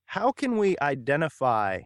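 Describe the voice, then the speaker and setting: A man's voice: fairly high pitch, huge pitch swings, unhurried, no room sound, clean studio sound. A man hosting an interview, asking long, earnest, admiring questions. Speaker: interview host.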